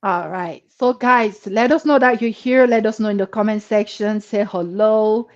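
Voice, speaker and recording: A woman's voice: medium pitch, normal speed. A woman hosting a live show, friendly, addressing viewers directly and putting questions to her guest. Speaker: host. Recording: dull lo-fi sound.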